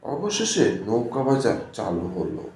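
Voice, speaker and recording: A man's voice: medium pitch, wildly swooping pitch, unhurried, a little mellow, roomy sound, slight background noise. A man narrating a scripted cartoon story.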